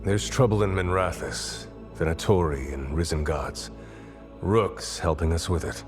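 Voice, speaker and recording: A gruff fighter in a fantasy game's underground resistance. His voice is very low, his delivery terse and businesslike, with music clearly playing behind him. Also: measured pace. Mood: serious. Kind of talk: dramatic video game acting.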